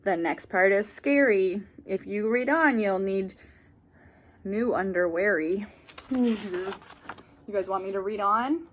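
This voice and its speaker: A woman reading a children's picture book aloud like a teacher, animated, with asides and questions to young listeners. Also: medium pitch, slow pace, pauses often, slight background noise.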